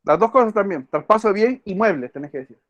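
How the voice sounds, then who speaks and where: A man's voice: high voice, animated, quick pace, fairly bright tone, no room sound. Man lecturing law, dull audio. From El Salvador. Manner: quizzes students by name, repeats key words. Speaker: law professor. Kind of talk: online class lecture.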